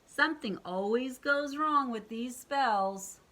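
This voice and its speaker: A woman's voice: high-pitched, takes it slowly, bright, forceful tone, slight background noise, voice pushed up, exaggerated voice. A woman voicing a grumpy, tired talking cookie tree that sticks to its rules. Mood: weary. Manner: dry, tired, rule-bound.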